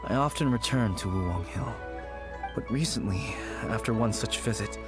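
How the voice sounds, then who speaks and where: An actor voicing a worried young man in a video game who is haunted by a lost childhood friend, speaking softly and hesitantly. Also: fairly low pitch, moderate pace, close to an everyday voice. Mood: wistful. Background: game music.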